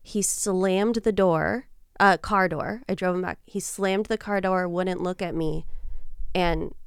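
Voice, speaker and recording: A woman's voice: on the low side, steady tone, slow pace, creaky, smooth, dry recording, studio quality. A woman in her thirties, a podcast guest telling dating stories in casual chat, laughing often. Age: 30s. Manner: giggly, storytelling.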